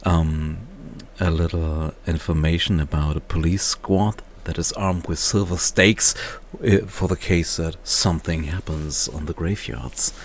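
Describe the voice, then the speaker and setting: Deep male voice, slow delivery, casual reviewer, halting and unscripted. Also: fairly even intonation, a little hiss or hum. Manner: halting, searching for words, often chuckling to himself. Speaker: reviewer, video host. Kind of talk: casual off-the-cuff book review.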